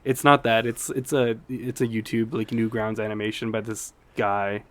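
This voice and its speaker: A man's voice: fairly low pitch, little pitch movement, normal speed, a loud crowd. A man co-hosting a podcast in casual conversation, often laughing lightly as he talks. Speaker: podcast co-host. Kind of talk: casual chat about video game movies.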